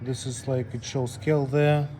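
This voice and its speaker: A man giving a step-by-step technical tutorial as a technician, speaking plainly in short, halting phrases. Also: medium pitch, flat delivery, background noise. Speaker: technician demonstrating equipment calibration.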